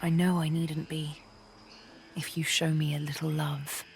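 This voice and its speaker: An actress voicing a vengeful, seductive elf assassin in a video game, deliberate and teasing, often murmuring. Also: female voice, low voice, little pitch movement, creaky, slight background noise, heavily acted. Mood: tender, grateful.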